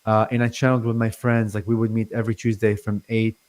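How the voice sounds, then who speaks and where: Brief: man, forties, born in Lebanon, halting speech with a stutter, remote guest. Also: fairly low pitch, flat delivery, darkish tone. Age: 40s. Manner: halting, many fillers and restarts, stutters. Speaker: guest channeler. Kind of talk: casual interview talk.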